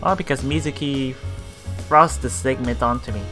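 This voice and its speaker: A man doing casual let's play commentary, haltingly reading and paraphrasing story text aloud while thinking out loud. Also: mid-range voice, music in the background. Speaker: let's player.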